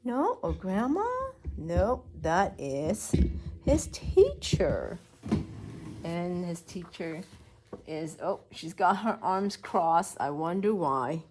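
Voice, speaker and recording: A woman's voice: fairly low pitch, big pitch swings, slow, darkish tone. A woman preschool teacher reading a story aloud to young children, explaining as she goes and asking simple questions.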